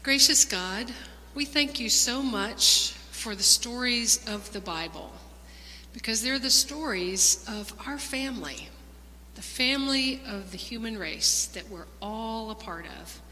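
A woman with a high, bright voice gives a children's talk in a church. Her phrasing is simple and conversational. The hall rings with long reverb and there is some background noise. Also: expressive, unhurried. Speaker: church children's minister leading children's moment. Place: large church sanctuary.

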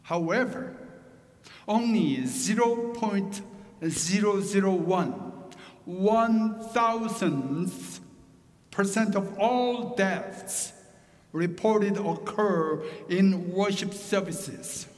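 A middle-aged man, a pastor whose first language is Korean, preaching a sermon with a Korean accent, slow and deliberate with joking asides. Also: high voice, a little mellow, in a large hall, low-quality recording.

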